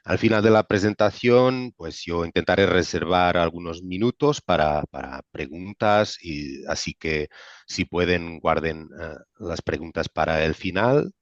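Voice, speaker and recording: A man with a non-native accent in Spanish, giving an informal explanatory webinar presentation as a medical director, speaking haltingly with frequent pauses and repeated words. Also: low-pitched. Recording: dull, roomy webinar audio.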